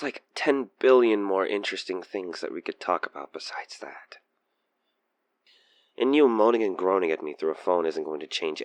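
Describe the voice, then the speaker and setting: Male actor as obsessed stalker killer for audio drama: low, theatrically animated, playfully menacing, infatuated, murmuring. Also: dry recording. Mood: mocking, impatient. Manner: theatrical, teasing, slipping between murmurs and whispers. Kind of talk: intimate audio roleplay monologue.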